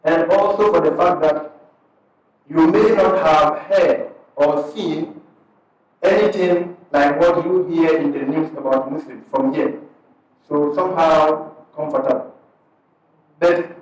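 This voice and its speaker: Ghanaian man in a very echoey hall. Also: on the high side. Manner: conversational, unhurried, breaks phrases into short pieces. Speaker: imam and parish missionary. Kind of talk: addressing an audience at a community event. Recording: very echoey hall, speaker far from the mic.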